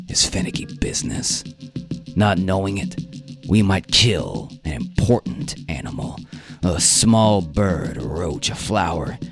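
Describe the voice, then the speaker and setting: Stern safari boss, voiced by a male narrator: very low, gravelly, blunt and commanding radio drama delivery, music underneath. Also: fairly bright tone, full character voice. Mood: stern explaining. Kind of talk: radio drama character reading.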